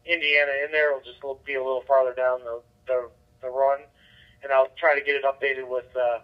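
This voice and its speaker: A man's voice: medium pitch, little pitch movement, brisk. A man chatting casually as an amateur radio operator over a digital two-way radio. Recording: muffled lo-fi digital radio transmission.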